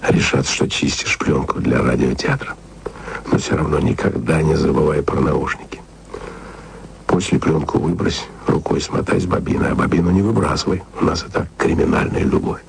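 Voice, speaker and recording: A man's voice: slight background noise, poor audio. An actor playing an aging émigré radio man in a radio play, speaking tenderly and confidingly in a half-whisper to his beloved. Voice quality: very deep, gravelly. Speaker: radio broadcaster leaving taped messages. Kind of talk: intimate radio play monologue.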